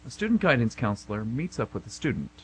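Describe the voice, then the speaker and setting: A man's voice: medium pitch, sing-song, fast talker, fairly bright tone, slight background noise, poor audio. A man narrating and announcing for an amateur radio theatre show.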